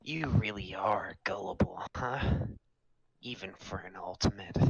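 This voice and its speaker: A man playing a soft-spoken, self-deprecating young man with a gentle, teasing, admiring manner in a fan fiction roleplay. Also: low voice, unhurried, gravelly, breathy edge, no room sound, highs cut off, full character voice.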